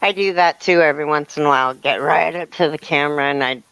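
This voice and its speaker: Fifty-something woman, smooth dry voice. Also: low-pitched, fast talker, a bit bright, no echo, low-quality recording. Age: 50s. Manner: chatty, reads out viewer comments. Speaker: crochet channel host. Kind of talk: casual live-stream chat.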